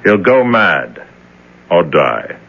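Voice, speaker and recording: An actor playing a calm, cold man in charge of a dark cell, speaking in a detached, matter-of-fact way, in a 1970s radio drama. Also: low-pitched, extremely slow, vocal fry, full character voice. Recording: dull old radio recording.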